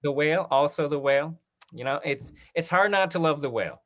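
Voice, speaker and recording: A man's voice: on the high side, a little fast. A man moderating a casual discussion in an encouraging, affirming way.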